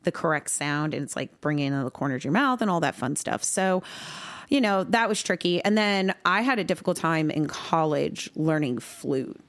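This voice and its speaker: A woman in her thirties, originally from New York, chatting as a podcast guest. She talks in a bubbly, easygoing way, full of filler words and quick laughs. Her voice sits a little lower than most women's. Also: animated. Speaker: guest musician. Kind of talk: casual podcast chat.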